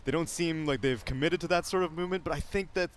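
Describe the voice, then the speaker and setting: Fast-talking male esports analyst, noisy background. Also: fairly high pitch. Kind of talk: casual game analysis. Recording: clear background noise.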